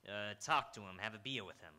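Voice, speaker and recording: Give the noir detective as a man acts him a low, forceful voice. He is a world-weary New York cop in a radio play, brooding over his city in terse, poetic phrases. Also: brisk. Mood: probing, businesslike. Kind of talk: old-time radio noir acting, second-person narration.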